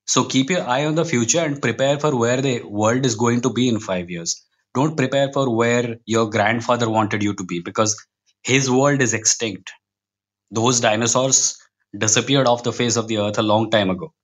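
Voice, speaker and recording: A man's voice: medium pitch, normal speed. A man with an Indian accent speaking as a podcast guest in casual, opinionated conversation, with a wry, emphatic manner that tends to digress. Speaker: podcast guest, comic book writer.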